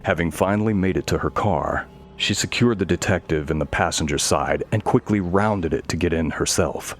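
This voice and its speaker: A man narrating a scripted horror story in a measured, dramatic way. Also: low-pitched, a little hiss or hum.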